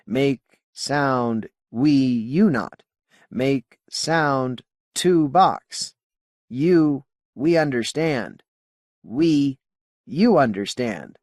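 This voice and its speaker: A man voicing an alien otter's speech as a translator box renders it, in halting, ungrammatical, word-by-word phrases. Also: medium pitch, expressive, a little mellow, no room sound, voice pushed up. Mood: halting, earnest.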